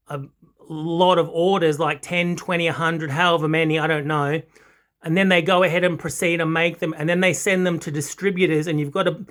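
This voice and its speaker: High-pitched, bright Australian man chatting. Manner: rambling, halting, enthusiastic. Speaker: audio hobbyist and reviewer. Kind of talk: casual unscripted product chat. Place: small carpet-and-wood home listening room with foam panels.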